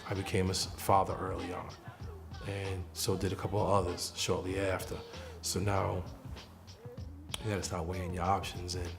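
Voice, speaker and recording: Low gravelly dude, flat and thoughtful, music in the background. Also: male voice, normal speed, pauses often. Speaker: interviewee, father. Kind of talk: documentary interview.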